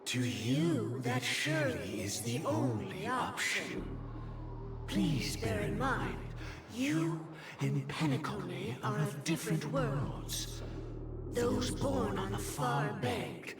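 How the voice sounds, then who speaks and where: A woman's voice: low voice, huge pitch swings, slow, exaggerated voice. A woman playing a stern, imperious dream master in a video game, speaking in formal, grandiose pronouncements. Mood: cold, grave. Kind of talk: dramatic video game voice acting. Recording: roomy game audio under loud music.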